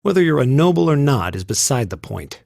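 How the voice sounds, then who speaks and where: A man's voice: mid-range voice, very animated, a little fast, gravelly, a little mellow, faint music behind. An actor playing a stiff, proud and resentful young male student in a video game, speaking curtly and formally. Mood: cold, offended. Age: young adult. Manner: curt, formal, haughty. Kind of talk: English anime-style game voice acting.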